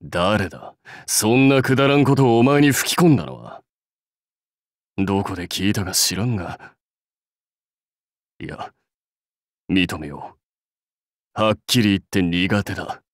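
Proud, brusque samurai warlord. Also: male voice, on the low side, animated, unhurried, pauses often, no room sound, studio quality. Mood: gruff, wary. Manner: curt, commanding, self-assured. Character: proud, brusque warlord rider devoted to his clan. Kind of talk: Japanese mobile game character lines.

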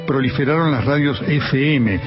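A man narrating a scripted radio history in a measured, formal manner, with an Argentine Spanish accent. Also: medium pitch, dull audio, over music. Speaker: documentary narrator.

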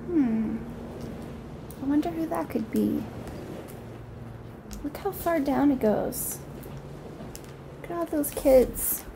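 A woman's voice: high voice, slow pace, vocal fry, long pauses. A young woman doing a picture book read-aloud, describing pictures and asking simple, wondering questions as if to small children. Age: young adult. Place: small room at home, indoors. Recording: noticeable background noise, home recording.

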